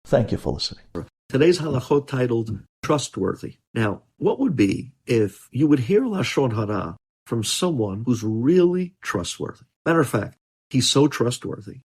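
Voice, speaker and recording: Mellow-voiced man teaching religious law. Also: medium pitch, sing-song, normal speed, slightly rough. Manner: explanatory, emphatic, poses questions and answers them.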